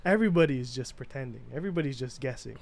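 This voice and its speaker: A young man co-hosting a casual podcast, talking in an easygoing, drawn-out way and laughing often. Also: mid-range voice, lively intonation, vocal fry, darkish tone. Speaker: podcast co-host. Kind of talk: casual chat.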